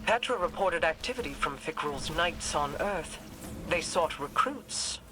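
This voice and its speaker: A woman's voice: low voice, animated, a little slow, over music, rough recording. A woman playing a regal, enigmatic immortal queen in a video game, composed and formal in her delivery. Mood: grave.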